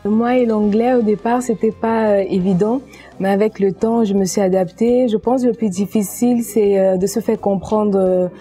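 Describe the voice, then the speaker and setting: Young female student, Cameroonian accent, very soft dark timbre, medium pitch, interview testimonial. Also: fairly even intonation, normal speed. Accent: Cameroonian French. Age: young adult. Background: music.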